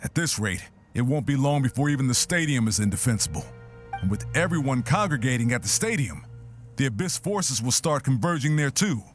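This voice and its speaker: A battle-worn male fighter played by a man actor talks frankly and wryly, with very lively pitch movement. It is video game acting over a musical score. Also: a little fast, soft background music, lightly acted. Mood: grim, urgent. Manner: frank, animated.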